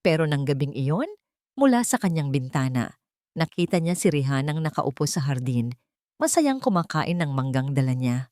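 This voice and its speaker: Female Filipino narrator for romantic audio fiction: dramatic storyteller, expressive pitch movement, dry studio-like sound. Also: normal speed, no echo.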